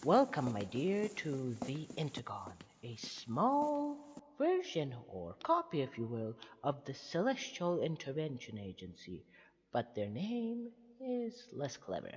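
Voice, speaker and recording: Male actor as mad Time Lord villain, slow and wildly swooping, mock-courteous, condescending, sci-fi audio drama. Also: mid-range voice, huge pitch swings, breathy edge, full character voice. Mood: smug, musing. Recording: dull, noisy, long hall reverb.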